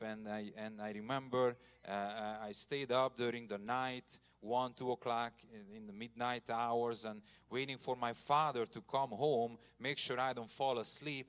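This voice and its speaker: Hungarian-accented man, guest preacher, earnest sermon and testimony, clear voice. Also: medium pitch. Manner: earnest, gets loud and emphatic at points of praise. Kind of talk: church sermon and personal testimony. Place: church sanctuary.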